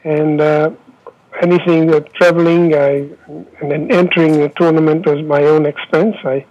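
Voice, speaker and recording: A man in his seventies with a Hungarian accent, pausing often with fillers as he answers interview questions over the phone. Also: on the high side, little pitch movement, a little mellow. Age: 70s. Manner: halting, many filler pauses. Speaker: interviewee, former Olympic fencer. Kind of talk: oral history interview. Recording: dull lo-fi phone line.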